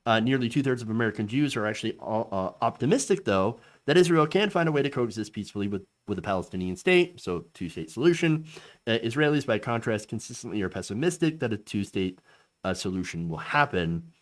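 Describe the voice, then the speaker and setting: A man gives casual political commentary on his own show. His pitch moves around a lot, and he keeps stopping on fillers and restarting his sentences. He sets his views apart from the quotes he reads. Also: animated, brisk.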